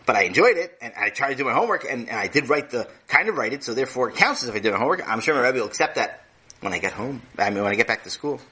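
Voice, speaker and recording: A man's voice: medium pitch, expressive, rapid-fire, bright, forceful tone, husky. A man voicing a cheeky young boy who stammers and rambles through excuses. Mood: sheepish.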